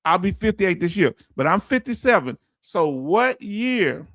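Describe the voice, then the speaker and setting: A man in his late fifties whose voice sits high for a man, heard dry and close with no room sound. He teaches an online audience in relaxed, folksy talk. He keeps asking viewers the same questions until they answer. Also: a little slow, vocal fry, dry recording. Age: 50s. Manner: quizzes viewers with repeated questions. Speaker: veteran benefits streamer. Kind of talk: livestream lesson answering chat.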